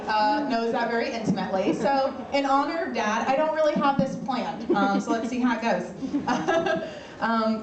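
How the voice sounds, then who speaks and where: A young woman giving an informal eulogy, joking and laughing between lines while speaking into a microphone at an outdoor pavilion. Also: fairly high pitch. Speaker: eulogist, daughter of the deceased. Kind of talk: informal memorial speech. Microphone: microphone through loudspeaker. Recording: echoey, distant, noisy outdoor recording.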